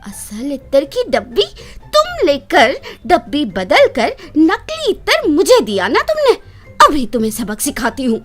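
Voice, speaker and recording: A woman's voice: high voice, wildly swooping pitch, a little slow, soft background music, voice pushed up, exaggerated voice. A woman playing a wronged, anxious young wife with a plaintive, dramatic delivery in a children's moral story. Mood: indignant.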